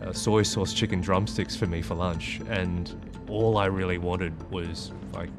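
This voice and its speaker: A very deep-voiced Australian man reflects on growing up, and clear music plays behind him. He tells his story in a calm, personal way. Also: measured pace. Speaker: interviewee in a report. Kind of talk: personal recollection.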